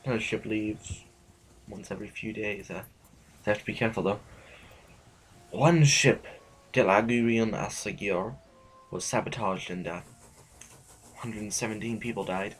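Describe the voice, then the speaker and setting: An actor playing an anxious, weary, conscientious official in an audio drama, speaking formally with hesitant stammers. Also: male voice, lowish voice, moderate pace, pauses often, lightly acted, low-quality recording. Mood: grim, informative. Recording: music under the voice. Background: music.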